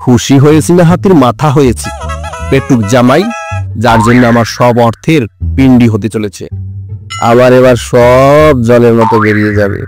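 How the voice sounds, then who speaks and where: A man's voice: mid-range voice, moderate pace, natural voice. A man voicing a stingy older father-in-law in a comedy cartoon, grumbling in exasperation over music. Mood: bitter. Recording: music behind the voice.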